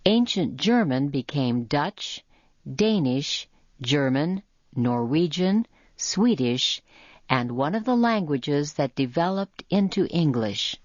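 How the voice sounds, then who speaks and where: A woman reading a scripted educational narration for English learners, with deliberate phrasing and careful enunciation. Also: sits low, lively intonation, darkish tone, dry recording. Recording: muffled lo-fi audio.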